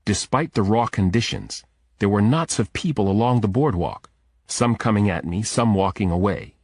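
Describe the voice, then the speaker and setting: A man's voice: on the low side, moderate pace, a little mellow, no room sound, studio quality. A man reading scripted first-person thriller narration as an audiobook narrator.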